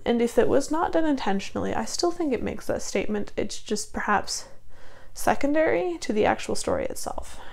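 A woman's voice: medium pitch, vocal fry, a little mellow. A young woman with a cold reviewing a book in a casual vlog, rambling with many ums and restarts, recorded in a small room at a desk webcam. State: has a cold, gravelly voice. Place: small room in front of bookshelves.

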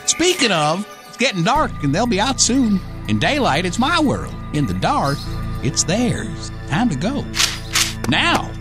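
High-pitched, animated folksy redneck survivor. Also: male voice, a little slow, music in the background, exaggerated voice. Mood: wry, anxious. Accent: American. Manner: folksy, slangy drawling wisecracks. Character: whiskey-drinking redneck survivor, cocky and folksy. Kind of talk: radio play monologue narration.

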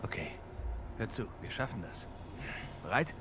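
An actor playing a confident adult treasure hunter laying out a plan in a video game dub. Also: male voice, on the low side, very animated, moderate pace, whispered. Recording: game audio with background noise.

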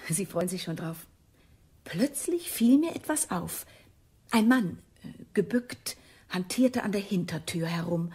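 Breathy, uneasy witness. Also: female voice, on the low side, animated, unhurried, darkish tone. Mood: uneasy, hushed. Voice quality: breathy, husky. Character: uneasy female witness, dog owner, keen to leave. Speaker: actress in a crime radio play. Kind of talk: German radio drama acting.

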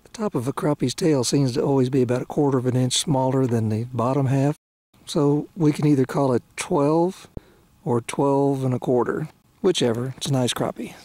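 A man's voice: medium pitch, normal speed, mellow, no echo. An older man hosting a fishing video from a small boat on a lake, chatting folksily to the camera and to the fish he catches. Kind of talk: casual talk to camera while fishing. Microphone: camera mounted on the boat. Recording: faint outdoor noise.

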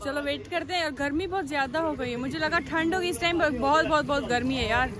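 A woman's voice: high voice, fast talker. A young woman chatting expressively to camera in a casual travel vlog style, recorded on a phone at arm's length. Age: young adult. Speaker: vlogger, host. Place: busy restaurant, street and hotel grounds. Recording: noisy outdoor and restaurant crowd background.

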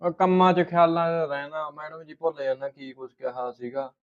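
A man's voice: fairly high pitch, moderate pace, highs cut off, lightly acted. A man acting a humble, hesitant, deferential household servant in a short film, with a Punjabi accent.

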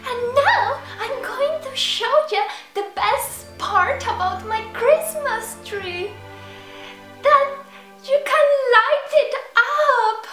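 Unhinged girlish clown villainess: squeaky-high, slow sing-song drawl, cutesy menace, roleplay video. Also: bright, forceful tone, exaggerated voice. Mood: gleeful, cutesy. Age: young adult. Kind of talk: in-character roleplay addressed to the viewer. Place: echoey indoor rooms at home. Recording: echoey room with background music.

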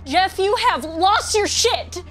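An actress playing an exasperated, panicked party guest, loud and emphatic, in a comedic audio drama. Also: female voice, very high-pitched, lively intonation, slow, soft background music, exaggerated voice.

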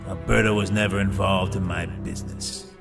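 Man acting a gravelly, imperious crime lord. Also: low voice, fairly even intonation, normal speed, far from the mic, faint music behind. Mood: indignant. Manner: indignant, imperious. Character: proud, angry male crime lord.

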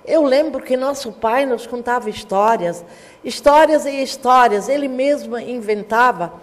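An older woman with a fairly high voice, reminiscing in a TV studio and talking with her hands. Her words ring with a long studio reverb. She tells her memories at an easy storyteller's pace. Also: a little slow. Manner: warm storytelling, animated hand gestures. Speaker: teacher and historian, interview guest. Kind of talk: reminiscing interview talk. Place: small TV studio.

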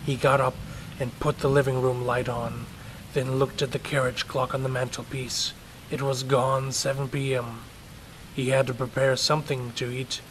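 A male storyteller with a mid-pitched voice reads a scripted horror tale. A little noise sits behind his narration. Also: flat delivery, moderate pace, rough recording.

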